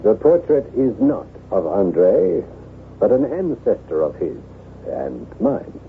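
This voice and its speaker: A besotted nobleman of a chateau in an old radio play, effusive and theatrical. His pitch swings widely, with a gravelly creak at the edges. The sound is thin and boxy, like a vintage broadcast. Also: wildly swooping pitch, takes it slowly, heavily acted. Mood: uneasy, secretive. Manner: theatrical, effusive, gushing over his bride. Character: besotted newlywed lord of a chateau, haunted by guilt. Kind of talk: 1970s radio drama acting. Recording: tinny, band-limited old radio recording with hiss.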